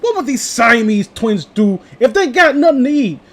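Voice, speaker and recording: A high-pitched, pompous male TV director as acted out by a man in casual banter. He rambles theatrically through his ideas, crude and sanctimonious, with an indignant edge. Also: sing-song, normal speed, fairly bright tone, heavily acted. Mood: pompous, musing.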